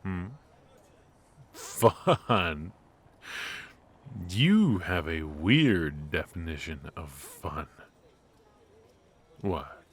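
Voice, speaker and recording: Male actor as mafia boss, low-pitched, slow-paced, teasing, ruthless, protective, noir ASMR roleplay. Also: sing-song, breathy edge, exaggerated voice. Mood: teasing, dry.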